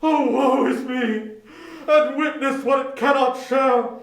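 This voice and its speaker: An actor playing the tormented ghost of a dead businessman in a dramatic reading, declaiming in drawn-out, anguished remorse. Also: very high-pitched, creaky, full character voice. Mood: solemn, doomed. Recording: echoey room sound.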